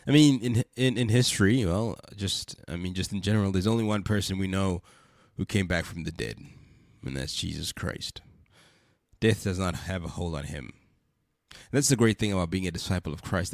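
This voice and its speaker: A man's voice: low voice, normal speed, no echo, studio quality. A man hosting a podcast with a congested, stuffy voice, speaking earnestly and repeating rhetorical questions. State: congested from a cold. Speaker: podcast host. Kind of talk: reflective spoken monologue.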